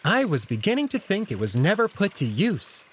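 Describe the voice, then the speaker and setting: A suave jackal courtier, acted out by a man, speaks in a fairly high, very swooping voice at a leisurely pace. He is airily polite with an insinuating edge, over faint trickling water. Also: huge pitch swings, moderate pace, slight background noise. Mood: feigned politeness. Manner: polite, leisurely, airy small talk with hidden edge.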